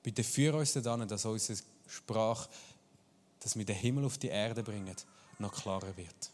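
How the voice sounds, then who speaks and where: A man's voice: lowish voice, a little slow, darkish tone, long reverb tail, a little hiss or hum. A man preaching a sermon and leading a guided prayer, earnest and searching, with small restarts.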